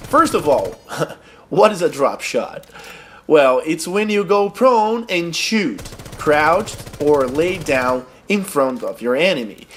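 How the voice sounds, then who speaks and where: A man's voice: fairly high pitch, a bit bright, slight background noise. A young man from Brazil with a Brazilian accent, a non-native English speaker explaining gameplay tips step by step in a tutorial voice-over. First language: Portuguese. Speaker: gaming tutorial host.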